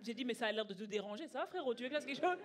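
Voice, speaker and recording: Stand-up comic, female, early 20s. Animated, emphatic storyteller at a stage mic, live club with light crowd noise. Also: fairly high pitch, very fast. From Belgium. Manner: animated, conversational, emphatic, acting out dialogue. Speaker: stand-up comedian. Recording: live stage with audience laughter. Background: audience laughing.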